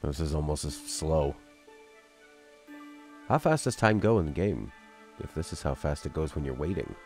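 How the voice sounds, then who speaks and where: Deep-voiced streamer, rambling and jokey, game music clearly behind him. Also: male voice, lively intonation, moderate pace, darkish tone. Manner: rambling, joking, thinks aloud. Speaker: let's play streamer. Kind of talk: casual gameplay commentary.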